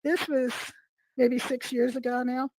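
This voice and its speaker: A middle-aged woman, hoarse from a cold, talking in a rambling way with many fillers as a guest on a video call. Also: high-pitched, little pitch movement, measured pace, a little mellow, a little hiss or hum, low-quality recording. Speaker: guest artist. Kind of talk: casual interview chat.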